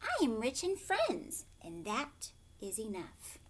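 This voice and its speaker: A woman voicing a humble, kindly old man in a storybook, gentle and modest in manner. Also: a little high, wildly swooping pitch, slow, husky, full character voice. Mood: contented. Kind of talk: read-aloud storybook character.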